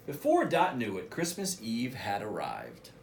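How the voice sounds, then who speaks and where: A man, a school principal, reading a picture book aloud for children in an expressive way, with casual asides. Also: mid-range voice, unhurried, creaky, slight background noise. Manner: expressive, addresses children directly.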